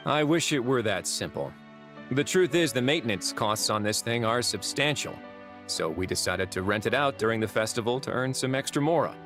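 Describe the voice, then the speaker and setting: A man playing a calm, dryly witty duke in a video game cutscene, speaking in a composed, measured way. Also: mid-range voice, faint music behind. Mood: matter-of-fact.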